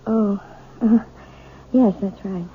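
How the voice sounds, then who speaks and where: An actress voicing a fearful, gentle woman piano teacher in an old radio drama, speaking hesitantly and evasively. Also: mid-range voice, fairly even intonation, takes it slowly, a little hiss or hum, lightly acted. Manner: hesitant, evasive, softly reproving. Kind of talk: 1970s radio acting. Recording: tinny, phone-like old radio recording.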